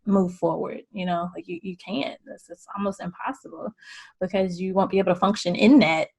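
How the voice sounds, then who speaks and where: Woman host, mid pitch, easygoing conversational delivery. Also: a little fast, vocal fry, husky. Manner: relaxed, conversational, rambling. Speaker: podcast host. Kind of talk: casual chat.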